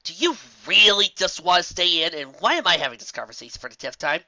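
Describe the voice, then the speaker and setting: A man's voice: sits high, sing-song, fast, bright, forceful tone, no echo, highs cut off, voice pushed up, heavily acted. A man voicing a grumbly young male baby dragon assistant in a storybook reading. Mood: pleading, dismissive.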